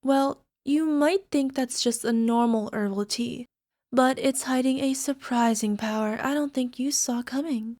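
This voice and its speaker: A woman playing a young, sweetly possessive yandere alchemist girlfriend in an ASMR roleplay, coaxing softly and sometimes slipping toward a whisper. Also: high voice, measured pace, dry recording, clean studio sound. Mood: smug, possessive. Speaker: voice actor. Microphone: close microphone, dry.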